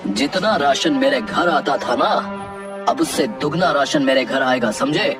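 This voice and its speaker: A man voicing a stern, threatening village wrestler in a cartoon, with a commanding, drawn-out delivery. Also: mid-range voice, natural voice. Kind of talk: Hindi cartoon dialogue. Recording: distant voice with music underneath.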